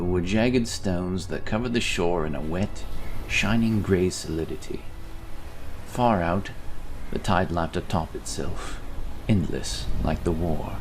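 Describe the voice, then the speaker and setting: A man with a very low voice narrates a scripted fantasy tale. He reads in a storyteller's register, with music playing faintly behind him. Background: background music.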